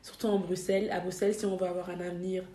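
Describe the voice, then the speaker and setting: A woman's voice: lowish voice, flat delivery, very fast, warm tone, reverberant. A young woman from Belgium, a podcast guest talking casually, hesitating with many fillers and restarts. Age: young adult. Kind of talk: casual interview.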